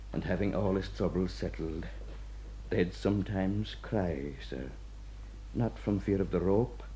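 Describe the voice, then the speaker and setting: A devout Irish prison warder in middle age as acted by a man for a radio play. His voice is very mellow and unforced, his manner deferential, homely and given to musing. The recording is slightly dull. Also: on the low side, natural voice. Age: middle-aged. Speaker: actor.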